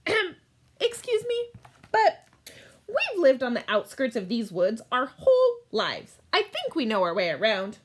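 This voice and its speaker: A woman acts out a confident little girl from a storybook read-aloud. She is bossy and stubbornly sure of herself, with a high voice and very animated, argumentative delivery. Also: a little slow, full character voice. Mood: indignant. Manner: assertive, matter-of-fact, argumentative.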